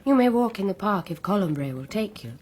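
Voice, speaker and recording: An actress playing an arch, sharp, matchmaking society mother of middle age in a radio drama. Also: medium pitch, expressive, fairly quick, slight background noise, natural voice. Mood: arch, commanding.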